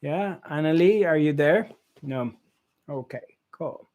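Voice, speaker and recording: A man's voice: fairly high pitch, slow, a little mellow. A man hosting a casual online group chat, often repeating and stammering over his words. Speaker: host, spiritual teacher. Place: online video call.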